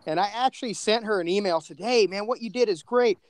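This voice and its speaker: A man's voice: high voice, a little fast, no echo. A man in casual chat as a podcast guest, effusive and slangy, often repeating phrases as he goes. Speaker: podcast co-creator and panel guest.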